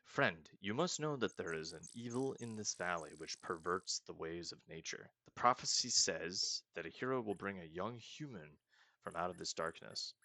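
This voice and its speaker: A man voicing a solemn, stately female forest spirit from a fantasy game, speaking slowly, gravely and formally. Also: low-pitched, lively intonation, sharp tone, no room sound, exaggerated voice. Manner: slow, grave, formal prophetic delivery.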